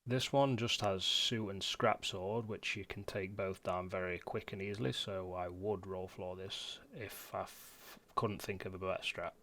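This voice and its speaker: A man's voice: sits low, fairly even intonation, measured pace, few pauses, a bit bright. A man giving casual, matter-of-fact commentary for a video game guide in an informal way. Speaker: gamer presenting a guide.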